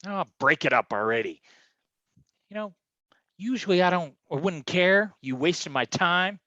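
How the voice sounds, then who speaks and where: A blustering private eye from a noir stage comedy, acted out by a man, slangy and theatrical. His voice is bright and forceful, leaping around in pitch and sitting a little high for a tough guy, with a dry, close sound. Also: animated, moderate pace, no room sound, muffled recording. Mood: exasperated bluster. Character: hard-boiled, blustering, dim male private detective.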